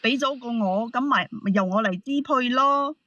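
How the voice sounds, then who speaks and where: A woman caller with a slightly high voice, heard over dull, low-fidelity call audio. She defends herself hesitantly and evasively in casual speech. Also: brisk, bright, forceful tone, dry recording. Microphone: call into show.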